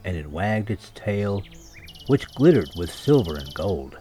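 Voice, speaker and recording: A man's voice: low voice, very animated, unhurried, warm tone. A man narrating a scripted children's story as a storyteller. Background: music and birdsong sound effects.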